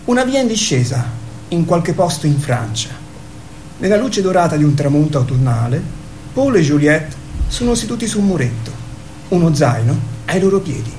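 A man's voice: fairly high pitch, sing-song, moderate pace, darkish tone, a little hiss or hum. A man narrating a radio play in a theatrical, scripted style, reading out scenes and characters. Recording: roomy echo under music. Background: music.

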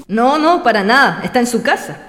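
An actress playing a polite, eager young student in a radio drama. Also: female voice, on the high side, animated, brisk, background noise, close to an everyday voice. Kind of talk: Spanish-language radio drama acting.

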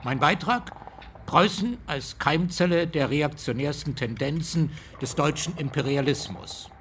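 An actor playing an older, self-satisfied East German Marxist historian reminiscing in measured, conversational radio drama monologue. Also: male voice, a little high, animated, fairly bright tone, slight background noise. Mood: complacent reminiscing.

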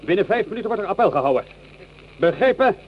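High-pitched, barking army lieutenant. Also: male voice, big pitch swings, fast, slight background noise. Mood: curt, commanding. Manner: curt, commanding. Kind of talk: Dutch radio play acting. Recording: dull old radio recording.

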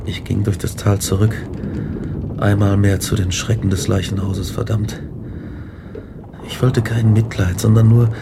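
An actor playing a tormented, guilt-ridden young scientist in a German radio drama, grave and intense. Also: male voice, fairly low pitch, little pitch movement, a little slow, gravelly, long pauses, very noisy. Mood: anguished, remorseful. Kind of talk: German radio drama acting, narration and dialogue.

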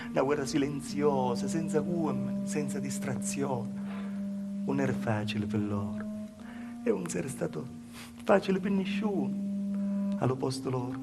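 A man's voice: fairly high pitch, lightly acted. A male actor with a Calabrian accent playing a humble peasant woman, telling her village past in a plaintive, folksy, repetitive stage monologue. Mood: mournful. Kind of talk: theatrical dialect monologue over live music. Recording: loud live music behind the voice. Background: live music.

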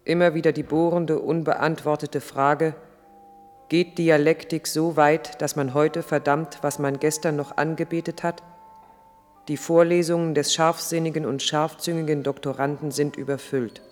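A male actor plays an earnest chronicler with a slightly high voice. His literary radio drama narration is deliberate and emphatic, set in long reverb with music in the mix. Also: flat delivery, lightly acted. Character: earnest male chronicler of a man's troubled life. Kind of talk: 1980s German radio play, literary narration.

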